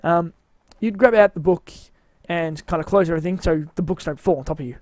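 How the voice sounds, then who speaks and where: A man's voice: on the high side, brisk, a little mellow, dry recording. A man giving a casual, rambling walkthrough of his game build, tired and with his voice wearing out, hesitant and mocking himself.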